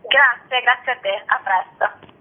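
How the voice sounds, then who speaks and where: A woman's voice: on the high side, measured pace. A woman giving articulate, flowing explanations as an interview guest. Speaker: festival artistic director, interview guest. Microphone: telephone. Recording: tinny narrow-band telephone line.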